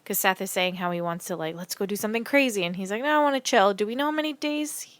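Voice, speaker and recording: A woman co-hosting a podcast in casual chat, asking questions and thinking out loud. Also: mid-range voice, fast, no room sound. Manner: asks questions, thinks aloud. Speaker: podcast co-host.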